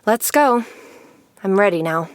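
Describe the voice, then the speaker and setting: A woman playing a composed, determined wife on the run in a noir audio drama, speaking in a measured, guarded way. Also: mid-range voice, lively intonation, dry recording, natural voice. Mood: firm.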